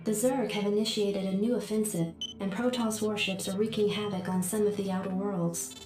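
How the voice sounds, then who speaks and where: A woman's voice: mid-range voice, steady tone, normal speed, a little mellow. A woman voicing a composed military adjutant computer, formal and precise, with a synthetic echo in a game briefing. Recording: heavy echo, music behind.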